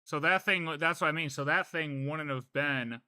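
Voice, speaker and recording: A man giving casual live game commentary, thinking aloud in an animated, cocky way. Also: fairly high pitch, moderate pace, clean tone, no room sound, studio quality. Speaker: game streamer.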